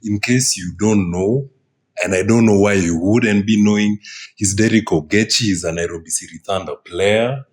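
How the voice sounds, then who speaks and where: A young man hosting a casual podcast chat, excitable and repeating phrases for emphasis. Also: lowish voice, normal speed, breathy edge. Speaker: podcast host.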